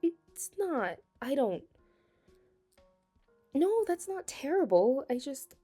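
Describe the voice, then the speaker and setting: A young woman playing a flustered, affectionate best friend with a secret crush in an intimate ASMR roleplay, speaking hesitantly and haltingly. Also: high voice, big pitch swings, mellow, faint music behind, heavily acted. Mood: flustered, surprised. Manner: hesitant, halting, breaking into nervous laughs. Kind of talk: ASMR romantic roleplay, intimate conversational acting.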